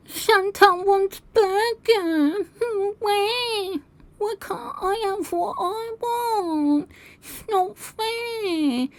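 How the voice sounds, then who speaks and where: Brief: a woman voicing a sobbing, whiny grown-up in baby talk, very high and shrill, slow drawn-out wails. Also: dry recording, exaggerated voice. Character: grown woman throwing a toddler's crying tantrum.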